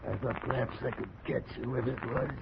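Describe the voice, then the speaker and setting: An actor playing a stubborn, fearful, vengeful plantation owner in a melodramatic old radio drama, with an agitated manner and a gravelly voice on a noisy recording. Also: male voice, mid-range voice, fairly even intonation, brisk, muffled recording, close to an everyday voice. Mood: shaken. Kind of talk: 1940s radio drama acting.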